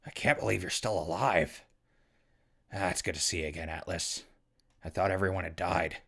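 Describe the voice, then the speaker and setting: Male actor as wasteland radio survivor: low, slightly gravelly, casual, dryly sarcastic, naturalistic. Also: normal speed, fairly bright tone, heavily acted. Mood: wry, curious. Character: wry, weary male wasteland survivor running a radio station. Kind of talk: naturalistic audio drama acting.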